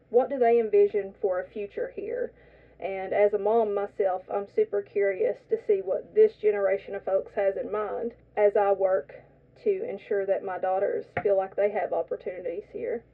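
A woman's voice: medium pitch, far from the mic. A woman hosts a radio talk show, asking her young guests curious, friendly questions. She sits a little away from the mic, and the sound is narrow and phone-like. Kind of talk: radio interview. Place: radio studio.

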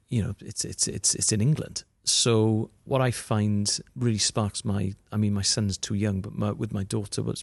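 A man's voice: fairly low pitch, vocal fry, no room sound. A Welsh man who grew up speaking Welsh, talking as a comedian guest in an interview, chatty with lots of restarts and asides. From Wales. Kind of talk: conversational interview answers.

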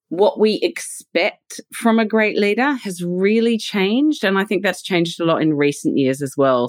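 A woman's voice: low voice, dry recording. A woman in her forties with an Australian accent, speaking as a podcast guest in a thoughtful, conversational way, laughing easily. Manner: warm, laughs readily, thoughtful with "you know" fillers. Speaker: guest, leadership and culture consultant.

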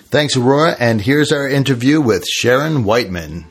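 Male podcast host, husky voice, relaxed friendly interviewer. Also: mid-range voice, normal speed. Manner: friendly, laughs easily, relaxed. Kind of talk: casual interview chat.

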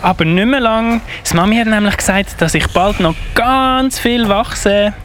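A performer playing an eager but timid little boy in a children's Christmas radio play, with a slight excited stammer. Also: medium pitch, unhurried, slight background noise, close to an everyday voice. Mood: curious.

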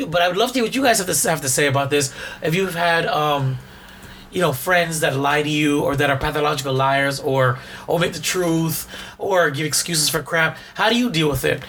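A hoarse man co-hosting a podcast in casual chat, rambling and restarting his sentences. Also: fairly high pitch, normal speed, fairly bright tone, a little hiss or hum. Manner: rambling, repeats words and restarts often. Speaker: podcast co-host.

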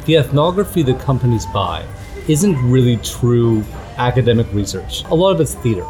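A middle-aged man from Canada, a guest ethnographer speaking in a measured, reflective way with frequent restarts. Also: mid-range voice, big pitch swings, music in the background. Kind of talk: conversational interview.

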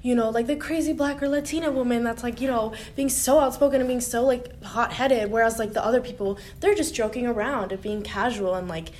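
A young woman co-hosting a casual roundtable discussion, outspoken and animated, with lots of filler words and a strong voice. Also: on the high side, fairly quick, few pauses, a little hiss or hum. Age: young adult. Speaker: staff writer and podcast co-host.

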